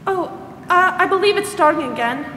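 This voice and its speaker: Young woman as bright, high-voiced social worker. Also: expressive, normal speed, exaggerated voice. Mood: hesitant. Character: polite, concerned, helpful woman social worker. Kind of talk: 1940s radio drama acting. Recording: roomy stage hall, faint noise.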